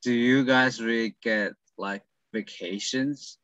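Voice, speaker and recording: A man's voice: mid-range voice, fairly even intonation, slow, fairly bright tone, no room sound. A young man from Taiwan, a Mandarin speaker, hosting an interview in English, hesitant and apologetic with lots of "like". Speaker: interview host. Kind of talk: casual interview over a call. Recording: dull lo-fi call audio.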